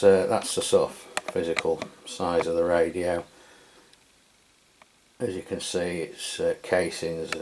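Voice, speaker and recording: A man's voice: deep voice, a little mellow, slight background noise. A man from England giving a casual, hesitant product review with many fillers. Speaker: radio reviewer. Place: home radio room.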